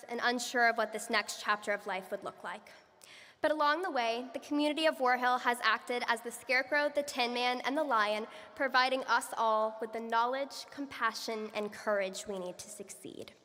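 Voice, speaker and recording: A young woman delivers a graduation speech with a clear, bright voice set a little high. Her delivery is earnest and measured, and long reverb rings around her in a large hall. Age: young adult. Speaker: student speaker. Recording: echoey hall from far off.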